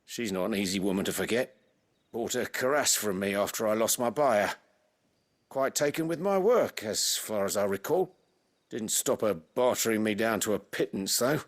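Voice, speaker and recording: A man's voice: fairly low pitch, lively intonation, normal speed. A man playing a humble, talkative leather craftsman in a video game, wry and self-deprecating. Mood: wry, chatty. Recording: game music under the voice.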